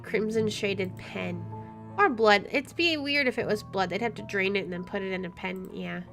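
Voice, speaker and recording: A woman gamer reads on-screen story text aloud with some drama. Her mid-pitched voice drops into playful, joking asides over faint music. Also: normal speed, fairly bright tone. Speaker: gaming video host. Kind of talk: playthrough commentary, reading game text aloud.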